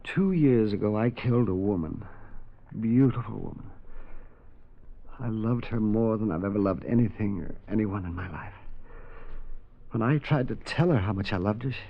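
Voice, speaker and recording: An actor playing a haunted carnival man who pleads and confesses in a tormented, halting way, in 1940s radio acting. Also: on the low side, gravelly. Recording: dull old radio recording.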